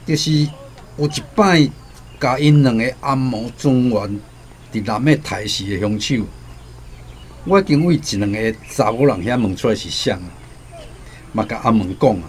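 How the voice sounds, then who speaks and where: A man's voice: mid-range voice, animated, normal speed, long pauses, slight background noise, lightly acted. Man acting a probing village headman, birdsong behind. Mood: secretive, probing. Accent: Taiwanese. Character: wary male village headman hunting a wanted killer. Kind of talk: radio drama acting.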